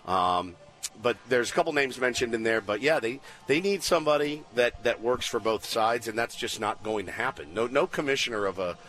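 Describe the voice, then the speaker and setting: A man's voice: mid-range voice, expressive, brisk, a bit bright. Male co-host, mid-range voice, casual live sports talk. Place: live remote broadcast at a baseball spring training site.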